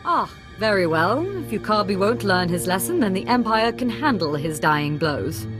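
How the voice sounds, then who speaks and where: An actress playing a poised, businesslike imperial diplomat and spymaster in a video game, speaking formally. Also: female voice, mid-range voice, lively intonation, normal speed, music in the background, lightly acted. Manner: formal, polished. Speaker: imperial special operations chief.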